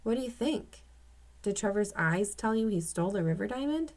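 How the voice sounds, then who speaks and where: A woman's voice: medium pitch, animated, normal speed, darkish tone, pitched above the performer's own voice, lightly acted. A woman reading aloud as a chatty, speculating young boy in a children's storybook. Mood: teasing.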